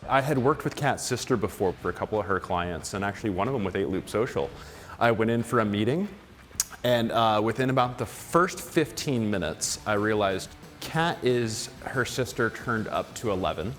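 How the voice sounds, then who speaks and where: A man recounting, in a relaxed interview, how he came to work on the series. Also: fairly low pitch, moderate pace, long reverb tail, faint music behind. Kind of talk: interview storytelling.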